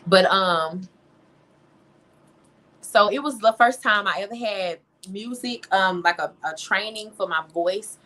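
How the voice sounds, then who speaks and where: Expressive woman in a candid interview. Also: mid-range voice. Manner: expressive, informal, repeats phrases for emphasis. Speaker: interview guest, singer and songwriter.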